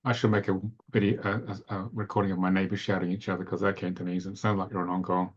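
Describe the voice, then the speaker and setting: Low husky guy running an online class, chatty and expressive, muffled call audio. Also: fast talker. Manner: expressive, talkative, anecdotal. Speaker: course instructor. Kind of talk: casual teaching chat on a video call.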